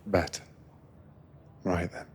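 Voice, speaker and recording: A man narrating a soothing ASMR guided meditation as an attentive sleeper train attendant, gentle and unhurried. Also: slight background noise.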